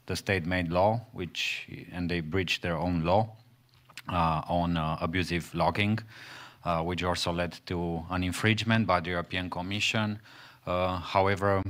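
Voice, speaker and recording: A man from Romania, giving halting panel remarks as an activist at a conference, with many pauses and restarts. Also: low voice, fairly bright tone. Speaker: panel speaker, forest activist. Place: conference hall.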